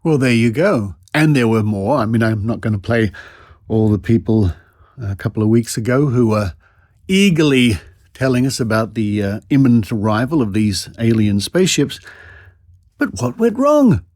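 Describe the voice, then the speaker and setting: A man's voice: medium pitch, animated, normal speed, darkish tone, no room sound. A male podcast host with an Australian accent, commenting with dry, mock-incredulous sarcasm.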